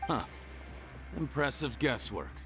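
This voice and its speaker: A man's voice: mid-range voice, sing-song, slow pace, a bit bright. A cocky male villain from a video game, theatrical and taunting. His mid-range voice swings widely as he schemes and bargains. Faint music plays behind him. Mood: intrigued, scheming.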